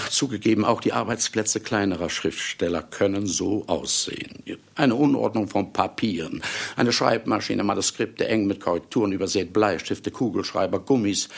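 An actor playing a brash, hard-drinking famous male novelist, addressing the audience in a radio play with sly, ceremonious irony and a gravelly, husky voice. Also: on the low side, full character voice. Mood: coaxing, sardonic. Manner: sly, chuckling, ceremonious asides with drawn-out words. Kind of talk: theatrical radio play monologue.

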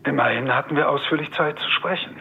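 A man's voice: a little high, quick pace, natural voice. A man playing a frank, businesslike estate adviser in a radio drama, matter-of-fact and formal. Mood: frank, concerned. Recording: muffled, band-limited, faint noise.

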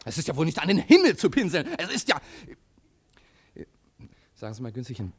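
An actor playing an excitable, bossy local newspaper editor in a German radio play, blustering and impatient, often stopping and starting as he thinks aloud. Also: a little high, fairly quick, husky, heavily acted. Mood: indignant, whining.

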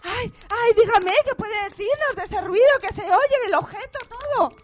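A woman playing a flustered, excitable female field reporter who stammers and repeats herself in a parody radio broadcast. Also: very high-pitched, normal speed. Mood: frantic. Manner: breathless exclamations, stammering, exasperated repetition. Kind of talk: radio theatre parody of live news report.

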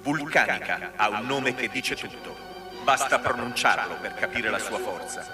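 Actor as bombastic corporate film narrator, echoing. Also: male voice, fairly low pitch, expressive, normal speed, close to an everyday voice. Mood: triumphant, solemn. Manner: grandiose, declamatory, slogan-like. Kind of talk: scripted promotional narration in radio drama. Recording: roomy echoing sound over music. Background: music.

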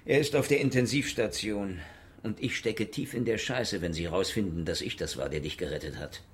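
Man acting a low-voiced boozy detective, gruff, deadpan and mocking, radio play. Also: normal speed, background noise, close to an everyday voice. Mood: sarcastic, irritated. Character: hard-drinking, sardonic male private detective in Moscow. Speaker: actor in a radio drama. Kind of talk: radio drama dialogue.

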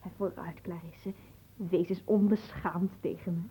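A woman's voice: lowish voice, fairly even intonation, measured pace, warm tone, breathy edge. An actress playing a bored, imperious young noblewoman in a radio drama, petulant and melodramatic. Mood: bored, goading. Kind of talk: theatrical radio drama acting.

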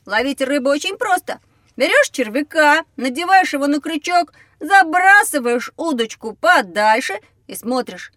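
A woman playing a curious, cheeky schoolboy in a lively, exclamatory way for a children's educational audio drama. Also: high voice, moderate pace, no room sound, studio quality. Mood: cocky.

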